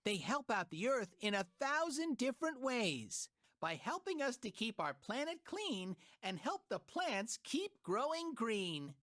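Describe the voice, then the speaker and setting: A woman's voice: on the high side, very animated, a little slow, fairly bright tone, no echo, clean studio sound. A woman voicing a peppy, sporty cartoon child, speaking enthusiastic sing-song rhymes for a preschool game. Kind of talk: scripted rhyming children's game narration.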